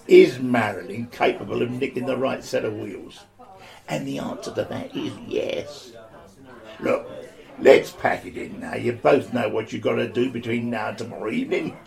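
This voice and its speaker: A man playing an old pensioner ringleader, bossy and chatty, who slips into rough slang. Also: medium pitch, normal speed, a little mellow. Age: older.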